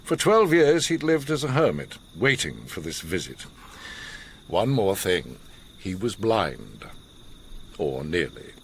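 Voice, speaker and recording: Older learned gentleman, radio drama. Low, animated delivery, measured pauses, grave intensity, slight background noise. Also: heavily acted. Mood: measured, confiding.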